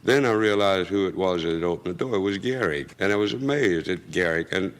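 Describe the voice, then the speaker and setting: An older man telling a personal anecdote in a folksy, unhurried way. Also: low-pitched, animated. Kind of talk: storytelling anecdote.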